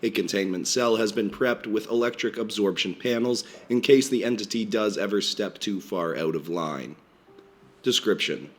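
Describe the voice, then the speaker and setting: Guy doing a stiff formal lecturer, measured, lowish voice, a bit strained from a cold. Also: lightly acted.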